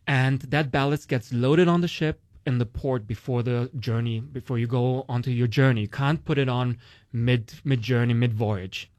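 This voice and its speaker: A man's voice: mid-range voice, fairly even intonation, moderate pace, darkish tone, no echo. A man with a Romanian accent, a guest explaining investing in casual conversation, with many fillers. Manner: uses many "like" and "you know" fillers, explains with analogies.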